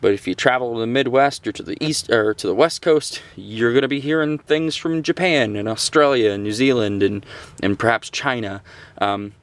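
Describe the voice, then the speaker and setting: A man reviewing a gadget in a casual, unscripted, conversational and somewhat halting way. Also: mid-range voice, no room sound. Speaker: gadget reviewer, host of a radio channel.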